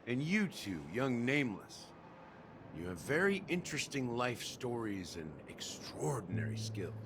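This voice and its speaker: An actor voicing a weary, knowing middle-aged man in an English video game, speaking in a measured, wry way. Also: medium pitch, sing-song, very noisy. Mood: earnest, reflective. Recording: loud game music behind.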